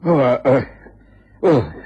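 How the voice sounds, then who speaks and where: An actor playing a cautious, deferential, hesitant police inspector in a radio drama, with a British accent. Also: male voice, medium pitch, sing-song, slow, slightly rough, full character voice. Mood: uneasy, reporting. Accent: British English. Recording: muffled, hazy old radio drama recording.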